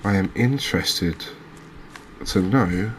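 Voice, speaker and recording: A man giving soft-spoken, relaxed ASMR-style game commentary in a hesitant, musing, understated way, as a gaming video creator. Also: deep voice, slow pace, a little hiss or hum.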